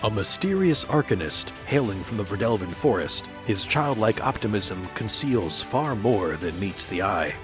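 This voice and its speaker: Low-voiced man, epic narration over music. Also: lively intonation, normal speed, hardly any pauses. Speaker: narrator of character introductions. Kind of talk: dramatic scripted narration.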